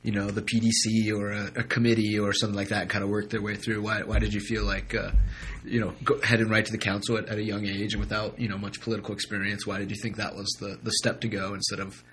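A man interviewing a council candidate, asking questions with halting restarts and steady "mm-hmm"s. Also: on the low side, a little hiss or hum.

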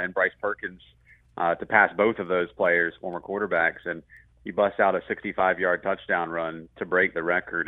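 Male sports radio guest, deep voice, conversational and chatty, thin band-limited call sound with little room. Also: dry recording. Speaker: radio play-by-play announcer, guest. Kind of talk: sports interview, conversational analysis. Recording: muffled, band-limited phone-like line.

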